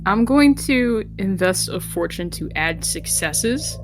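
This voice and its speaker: A woman's voice: mid-range voice, animated, slow pace, music in the background. A woman chatting casually as a player in a tabletop role-playing game, thinking aloud.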